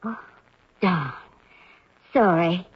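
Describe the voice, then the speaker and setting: A woman's voice: lowish voice, expressive, very slow, slightly rough, heavily acted. An actress playing a weary, peevish woman in an old-time radio drama, plain-spoken and complaining. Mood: mildly irritated. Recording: muffled old radio recording.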